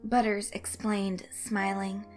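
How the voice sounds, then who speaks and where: A woman reading a fan-written story aloud as narrator, in expressive scripted narration. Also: medium pitch, slow pace. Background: music under the voice.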